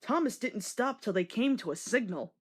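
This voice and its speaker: A woman narrates a children's read-along story. Her voice sits fairly high and moves around with very lively, expressive intonation. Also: moderate pace, slightly rough.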